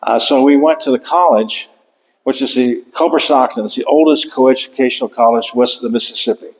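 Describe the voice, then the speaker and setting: An older man giving an author talk in a lecture room, speaking haltingly with many fillers and restarts. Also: mid-range voice. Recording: long hall reverb.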